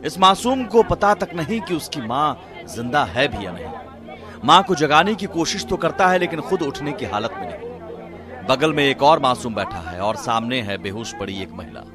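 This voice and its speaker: A man narrating a news report in a dramatic, emotive and theatrical scripted style. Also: medium pitch. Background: loud background music.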